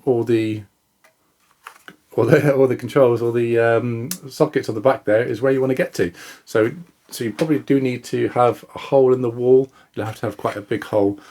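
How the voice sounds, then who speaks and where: A man's voice: medium pitch, darkish tone. A man giving a casual, chatty product demonstration, informally thinking aloud and correcting himself as he goes. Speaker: product reviewer and video host. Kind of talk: casual unboxing and demonstration talk to camera.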